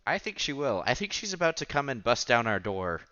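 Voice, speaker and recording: A man's voice: mid-range voice, sing-song, brisk, sharp tone. A man streaming a visual novel, reading the story text aloud with casual asides and the odd stumble. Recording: dull lo-fi sound with game music behind. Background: visual novel background music.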